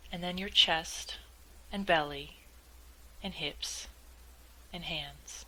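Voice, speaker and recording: A woman leading a guided meditation in a measured, gently instructive way, as calm spoken instruction. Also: low-pitched, a little hiss or hum, poor audio.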